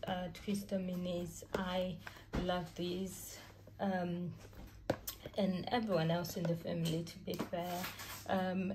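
A woman, a mother, chatting casually to camera about her groceries, unhurried and full of ums and uhs. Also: lowish voice, mellow, slight background noise. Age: adult mother. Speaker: vlogger showing a grocery haul. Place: home, at a table with groceries.

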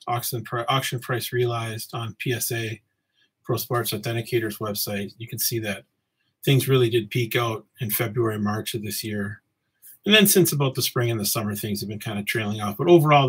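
A man in his forties, originally from Samoa, hosting a casual solo podcast from his home office, talking in a relaxed, rambling way with many ums. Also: mid-range voice, moderate pace, breathy edge. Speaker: podcast host. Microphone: webcam framing, seated at desk.

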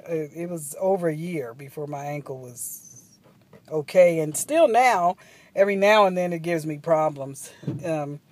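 A woman's voice: on the low side, a little mellow. Chatty woman vlogging from a parked van. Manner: chatty and rambling, many ums and asides. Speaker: travel vlogger. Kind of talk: casual vlog chat to camera. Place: parked minivan at a gas station. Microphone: phone camera at arm's length.

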